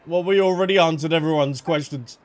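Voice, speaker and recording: A man's voice: on the high side, a little fast, a little hiss or hum. A man giving casual reaction commentary on a game, musing aloud and answering the characters. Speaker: gaming streamer. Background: game music.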